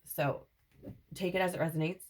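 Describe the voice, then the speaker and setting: A woman with a fairly low voice talks through a tarot reading in a loose, meandering way, often tagging "right?" and "like" onto her thoughts. Her throat sounds a little scratchy. She speaks as if passing on intuitive messages. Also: steady tone, normal speed. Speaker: tarot reader. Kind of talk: casual spiritual tarot reading to camera.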